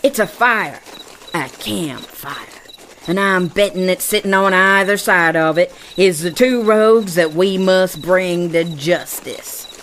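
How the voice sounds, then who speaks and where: An actress playing a bold, wry frontier scout with a folksy drawl in a western audio drama. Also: female voice, on the low side, measured pace, fairly bright tone, a little hiss or hum. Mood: confident.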